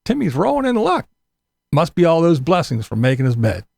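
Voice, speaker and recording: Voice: medium pitch, wildly swooping pitch, a little fast, gravelly, a little mellow, no echo. A commentator narrating a mocking, sarcastic video about someone, in an informal way.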